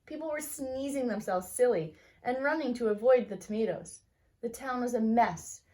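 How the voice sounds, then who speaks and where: Higher-voiced woman reading a children's story. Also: moderate pace. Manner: expressive storytelling with brief explanatory asides to young listeners. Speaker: read-aloud storyteller. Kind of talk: expressive picture-book read-aloud for children.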